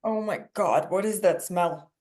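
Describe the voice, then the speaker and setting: A woman's voice: lowish voice, a little slow, a little mellow, natural voice. A woman playing a frightened young dancer held captive in a horror script read. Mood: shocked.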